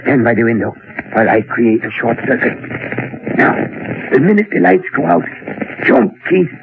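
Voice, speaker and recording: An actor playing a resolute elderly professor in an old radio drama, deliberate and authoritative. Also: male voice, medium pitch, sing-song, vocal fry, background noise. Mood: urgent warning. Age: older. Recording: tinny old radio recording.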